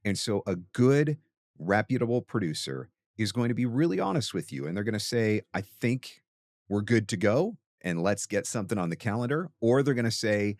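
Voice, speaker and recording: A man's voice: lowish voice, very animated, moderate pace, darkish tone, dry recording. A man hosting a talk and giving conversational coaching advice, emphatic and repeating phrases for stress. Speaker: host and voiceover coach answering a question.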